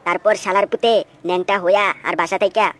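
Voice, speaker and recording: Brief: woman as dopey, eager sidekick crook, mid-pitched, Bangladeshi accent, cartoon comedy, very noisy track. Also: fairly even intonation, fast, close to an everyday voice. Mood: eager scheming. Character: dim-witted, eager cartoon henchman with schemes. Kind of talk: comic cartoon dub.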